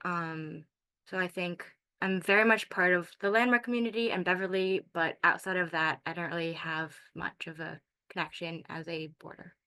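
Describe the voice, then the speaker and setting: A young woman in her late teens from Morocco, a high school student answering informally on a video call, hesitant and searching for words. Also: steady tone, moderate pace, pushed and bright, smooth.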